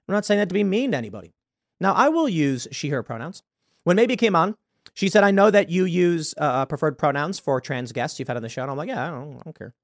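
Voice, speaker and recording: A man hosting a commentary show, talking through his views in a halting way with many repeated words and sudden loud emphasis. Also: fairly high pitch, dry recording, studio quality. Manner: hesitant, repeats words, stresses points loudly. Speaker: show host. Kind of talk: political commentary monologue.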